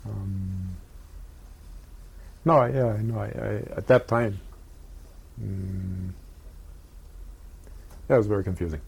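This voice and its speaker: An older man from Japan speaks slowly and haltingly, often pausing and restarting. His voice is very low and gravelly, with a rough, husky edge. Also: a little mellow. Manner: halting, many pauses and fillers. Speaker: interviewee. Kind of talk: oral history interview.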